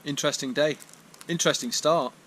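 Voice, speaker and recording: A man vlogging on a hiking trip, thinking aloud in a relaxed way with fillers and repeats, recorded on an action camera. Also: a little high, a little fast, slight background noise, poor audio. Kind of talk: vlog narration to camera. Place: outdoors on a walk, then sheltering in a barn.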